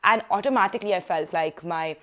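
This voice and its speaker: Young woman, slightly low voice, chatty advice vlog, animated, living room, camera mic. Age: young adult. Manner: chatty, animated hand gestures, quick asides. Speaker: student vlogger. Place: living room sofa, indoors.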